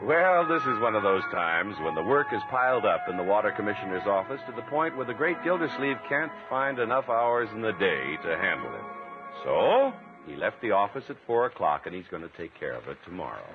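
A man's voice: on the low side, big pitch swings, a little fast, loud music behind the voice. A man announcing and narrating ads in emphatic, salesmanlike 1950s radio style. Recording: tinny vintage radio recording.

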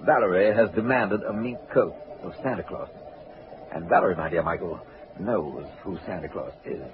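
A man performs a smooth-talking bogus colonel in an old radio play. His deep voice rolls out wordy, pompous courtesies. The sound is narrow and tinny, with clear background hiss. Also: normal speed, close to an everyday voice. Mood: grandly musing. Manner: pompous, wordy, elaborately polite. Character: male swindler posing as colonel, grandiloquent and smooth-talking. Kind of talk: 1940s radio acting. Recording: scratchy, tinny 1940s radio recording.

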